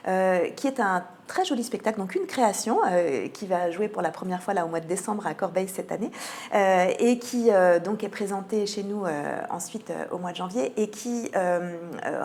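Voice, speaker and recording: Woman, medium-pitched voice, interview guest, programme presentation, frequent mid-sentence hesitations. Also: a little mellow. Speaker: theatre cultural programming manager, radio guest.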